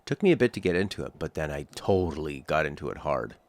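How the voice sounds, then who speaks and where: A man casually commenting while streaming a video game, chatty and breaking into sudden excited exclamations. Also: very deep voice, quick pace, dry recording. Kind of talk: casual let's-play commentary. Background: game music and sound.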